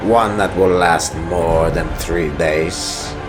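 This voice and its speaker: A man playing a cold, calculating prison experimenter in a dramatic comic dub, speaking in a measured, insinuating manner. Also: deep voice, fairly even intonation, music in the background. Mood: coolly taunting. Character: cold, calculating prison experimenter seeking strong test subjects.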